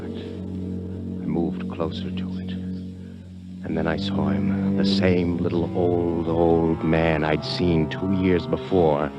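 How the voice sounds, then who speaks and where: An actor playing a greedy, hard-bitten American adventurer in a 1950s radio drama, speaking tersely. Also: male voice, low voice. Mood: tense, obsessed. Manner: terse, tough. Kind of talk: 1950s radio drama acting, first-person narration. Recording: muffled vintage 1950s radio recording with music.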